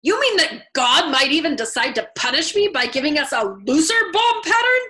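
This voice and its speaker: A woman's voice: high voice, very animated, normal speed, sharp tone, voice pushed up, full character voice. A woman voicing a pompous, insecure male colonel in a storybook, blustering and indignant.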